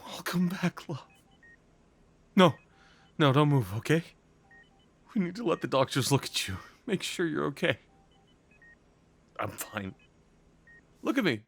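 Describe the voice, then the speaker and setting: Male actor as devoted crime boss, ASMR roleplay. Mid-pitched, unhurried, halting delivery, raw and intimate. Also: husky, heavily acted. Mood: relieved, overwhelmed. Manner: slow, halting, emotional, pleading delivery. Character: devoted, desperate crime boss at his lover's hospital bedside.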